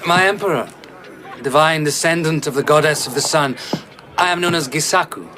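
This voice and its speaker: A man's voice: a little high, normal speed, background noise, natural voice. A man playing a sly wandering storyteller. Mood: grand, sly. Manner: grand, playful storyteller's delivery. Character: sly wandering male storyteller, teasing and theatrical. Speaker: vagabond storyteller. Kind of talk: BBC radio drama acting.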